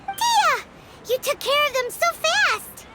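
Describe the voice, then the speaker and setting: A woman voicing a small, childlike, excitable fairy companion in a video game, with a squeaky, chirpy, blunt delivery. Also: takes it slowly, very noisy, heavily acted. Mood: delighted. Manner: blunt, chirpy, refers to herself by name. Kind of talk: English video game voice acting.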